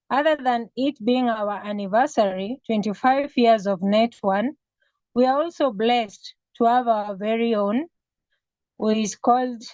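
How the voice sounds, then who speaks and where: A woman from Kenya hosting an online meeting in a slightly high voice. She talks in a measured way and fills pauses as she goes. Her audio comes through a dry, dull video call connection. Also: darkish tone, no room sound. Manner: measured, with frequent pauses and fillers. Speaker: webinar host.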